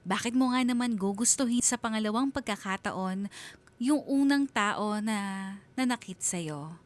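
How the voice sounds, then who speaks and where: A woman narrates a scripted radio drama at a medium pitch. She tells the story in a confiding, reflective way, as dramatic first-person storytelling. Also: unhurried.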